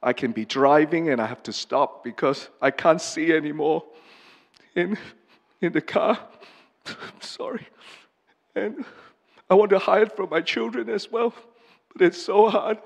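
A middle-aged pastor, a man from Hong Kong, giving a personal testimony sermon in an earnest, halting way with many pauses and restarts. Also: a little high, vocal fry. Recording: long reverb of a large hall.

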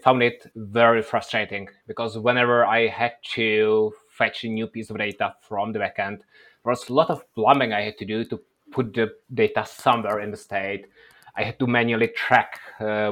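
Male interview guest, native Czech with Czech accent. Lowish pitch, thoughtful, measured conversational delivery. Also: fairly bright tone. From Czech Republic. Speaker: interview guest, workshop presenter.

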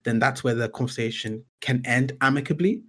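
A man's voice: medium pitch, a little fast, fairly bright tone. A man hosting a podcast in a conversational interview style. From Britain. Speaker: podcast host.

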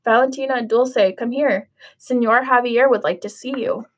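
A woman's voice: fairly high pitch, moderate pace, close to an everyday voice. A woman reading aloud as a pompous, scheming stepmother who slips Spanish words into her English. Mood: fawning. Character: pompous middle-aged stepmother, fawning and scheming.

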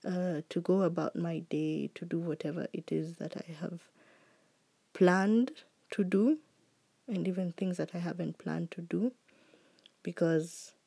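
Slow, husky-toned reflective podcast woman. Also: lowish voice. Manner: slow, heartfelt and earnest, with soft trailing phrases. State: recovering voice after illness. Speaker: podcast host. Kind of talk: reflective solo podcast monologue.

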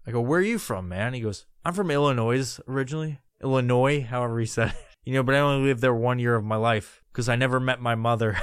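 A man's voice: medium pitch, fairly bright tone, dry recording, studio quality. A middle-aged man from New York hosting a podcast, swearing constantly and laughing through rambling stories. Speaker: podcast host. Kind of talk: profane storytelling monologue.

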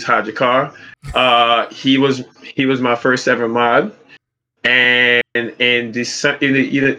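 Bright-voiced New York man, slangy and hyped, dull lo-fi recording. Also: medium pitch. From Brooklyn, New York. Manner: hyped, slangy, many fillers. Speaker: podcast co-host. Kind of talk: casual podcast chat. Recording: dull lo-fi mic.

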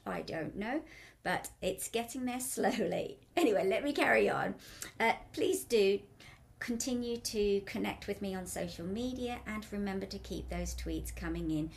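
Woman, radio host, croaky voice, upbeat, chatty, emphatic. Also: mid-range voice, lively intonation, normal speed. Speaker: radio show host and life coach. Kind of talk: radio talk show monologue.

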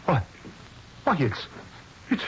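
An actor playing a skeptical, gruff police lieutenant in a radio mystery, stammering and questioning in disbelief. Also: male voice, takes it slowly, fairly bright tone. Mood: flustered. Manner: hesitant, stammering, incredulous questioning. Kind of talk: radio mystery drama acting. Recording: dull, hissy old radio broadcast.

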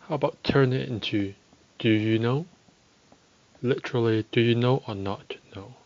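A man teaching a podcast language lesson, speaking slowly and deliberately and repeating phrases for learners. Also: medium pitch, darkish tone, lo-fi sound.

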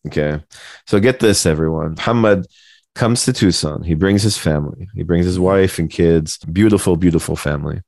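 A man with a deep, low-set voice in informal podcast chat. He talks casually as one of the hosts, and the recording is dry. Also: moderate pace, gravelly, darkish tone, dry recording. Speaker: podcast co-host.

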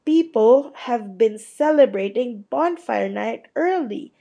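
A woman puts on a chatty male weatherman in a storybook, breezy and a touch playful. His lines sit a little higher and lighter, delivered slowly with a husky grain. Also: lively intonation, mellow, dull audio, full character voice.

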